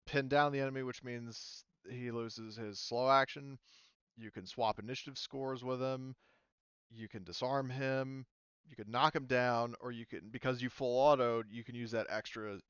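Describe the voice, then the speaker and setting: A man running a tabletop roleplaying game as game master, talking casually and haltingly as he thinks aloud. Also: mid-range voice, fairly bright tone, clean tone, no room sound, lo-fi sound. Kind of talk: running a tabletop roleplaying game, improvised narration and rules talk.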